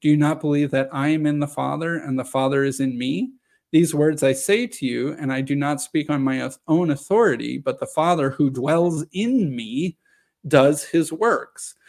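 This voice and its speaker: A slightly hoarse man giving a measured lecture, reading scripture passages aloud and explaining them. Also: on the high side, a little mellow. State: slightly hoarse from long talking. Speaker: video presenter on scripture. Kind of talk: lecture-style reading and commentary.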